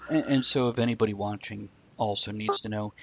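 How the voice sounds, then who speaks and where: A man's voice: lowish voice, fairly even intonation, a little fast, fairly bright tone, muffled recording, a little hiss or hum. A man hosting a live-stream talk show, chatting casually and stumbling over words now and then. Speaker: show host.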